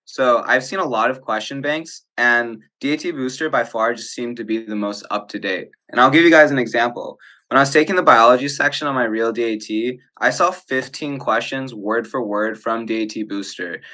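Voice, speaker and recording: A man's voice: medium pitch, moderate pace, bright, forceful tone. A young man giving an enthusiastic, conversational testimonial over a video call. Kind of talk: casual testimonial.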